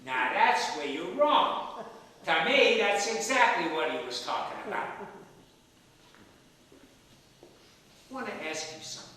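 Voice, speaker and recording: A man acting as an elderly father in a stage play, roguish, stubborn and full of affection. His voice is bright and very animated as he pleads and persuades. It is heard from far off in a reverberant theatre. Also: sits high, normal speed, full character voice. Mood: playful. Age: older. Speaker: stage actor. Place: theatre stage, recorded from the audience area. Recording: echoey theatre hall, distant mic, faint noise.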